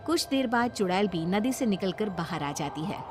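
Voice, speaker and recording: A woman's voice: medium pitch, lively intonation, normal speed. A woman storyteller reading a scripted tale as narrator for a children's story.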